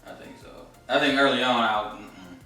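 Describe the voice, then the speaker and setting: A man's voice: mid-range voice, normal speed, bright, forceful tone. A man in his late twenties co-hosting a casual chat show, laid-back and joking, laughing often. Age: 20s. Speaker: podcast co-host. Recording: echoey room, distant mic, faint music.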